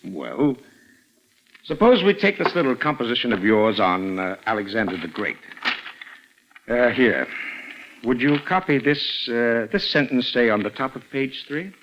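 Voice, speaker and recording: A man playing a suspicious middle-aged stepfather in a 1940s radio drama, falsely genial and quietly menacing, probing with deliberate pauses. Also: medium pitch, animated, slight background noise, poor audio. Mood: sly, probing. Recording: vintage 1940s radio recording.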